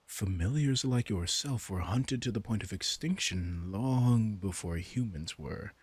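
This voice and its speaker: A man's voice: fairly low pitch, measured pace, breathy edge, no echo. A man playing a commanding, teasing vampire king in a roleplay audio drama, smug and casually menacing as he speaks straight to the listener. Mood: amused, explaining.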